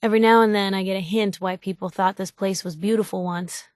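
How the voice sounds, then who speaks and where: A woman's voice: mid-range voice, steady tone, quick pace, darkish tone, no echo, clean studio sound, lightly acted. A woman playing a wry, inquisitive young reporter companion in a video game, with an American accent and a chatty, sarcastic way of talking. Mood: curious, musing. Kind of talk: video game companion dialogue, conversational asides.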